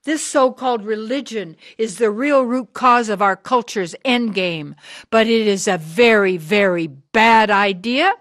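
An actress as a hard, driven woman of sixty in a radio drama. She delivers her indictment slowly, with weighty rhetorical pauses. The sound is close and dry. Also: a little high, fairly bright tone, no echo. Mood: bitter, impassioned. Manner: deliberate, rhetorical, emphatic pauses. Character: hard, driven sixty-year-old woman, bitter anti-church preacher.

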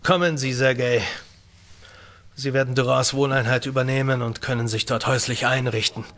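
Man acting a bright-voiced, responsible station leader. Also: mid-range voice, steady tone, normal speed, a little hiss or hum, close to an everyday voice. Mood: concerned, organising. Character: male station leader, responsible, strained, authoritative. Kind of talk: German audio drama acting.

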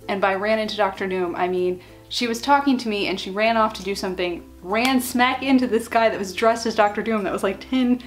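A young woman in her twenties chatting animatedly to a webcam in a small bedroom, telling stories and acting out what her friends said. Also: medium pitch. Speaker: vlogger. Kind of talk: casual storytime chat.

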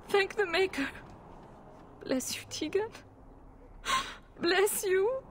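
A woman's voice: extremely high pitch, very slow, a little hiss or hum, heavily acted. An actress playing a distraught, proud noblewoman in a video game, formal and pleading. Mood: tearful relief. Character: distraught proud noblewoman, desperate mother and wife. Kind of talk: dramatic video game dialogue.

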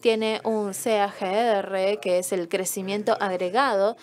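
A woman's voice: mid-range voice, steady tone, measured pace. A woman interpreting a conference talk as it is given, explaining step by step and quizzing the audience.

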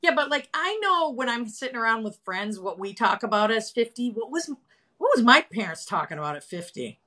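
Woman, 50s, live chat host, chatty, animated, phone video call, at home. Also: fairly high pitch, a little fast. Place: home room with colorful wall. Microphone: phone camera, video call.

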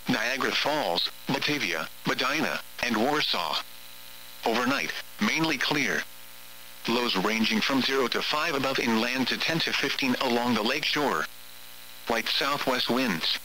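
A synthetic male text-to-speech voice reading a scripted weather forecast in a formal, measured announcer manner. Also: medium pitch, pushed and bright, rough recording. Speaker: automated weather radio announcer. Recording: radio broadcast with faint hum.

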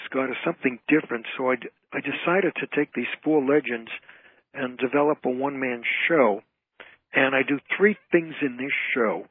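Male phone-in guest, husky voice, narrow dry sound, entertainer telling things emphatically, point by point. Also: fairly high pitch, no room sound. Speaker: interview guest, entertainer and author. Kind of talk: conversational radio interview. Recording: narrow, tinny phone line.

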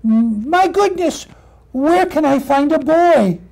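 A woman voicing an eager, plaintive little boy puppy in a storybook tale, pleading in a halting, childlike way. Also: high voice, animated, vocal fry, mellow, pitched above the performer's own voice, exaggerated voice, poor audio. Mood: forlorn.

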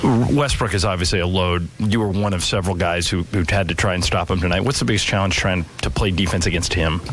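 A man with a fairly low voice asks questions as a sports radio reporter. He talks quickly, interviewing a player after a game in a locker room. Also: a little hiss or hum.

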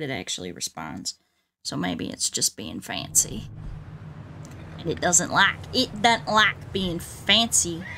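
A woman's voice: lowish voice, pushed and bright. A woman with a Southern US drawl, chatting casually in folksy cowboy talk as she hosts a game livestream. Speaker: livestreaming VTuber host playing a video game.

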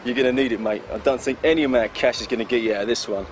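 An actor playing a gangster's loyal, wary friend in a video game, cautious and matter-of-fact. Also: male voice, medium pitch, rapid-fire, noisy background, lightly acted. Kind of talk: video game cutscene acting.

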